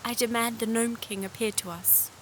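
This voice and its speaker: A woman's voice: fairly high pitch, steady tone, moderate pace, a little hiss or hum, close to an everyday voice, rough recording. A woman playing an earnest, hesitant, self-doubting young princess in a fantasy audio drama. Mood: anxious.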